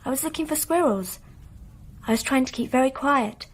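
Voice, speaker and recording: A woman's voice: sits high, moderate pace, slightly rough. An actress playing a dreamy, trusting young girl in a radio play, speaking with earnest simplicity. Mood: earnest, recounting.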